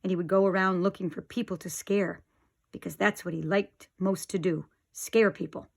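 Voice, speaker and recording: A middle-aged woman, an expressive storyteller reading aloud for young children. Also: fairly low pitch, moderate pace, vocal fry.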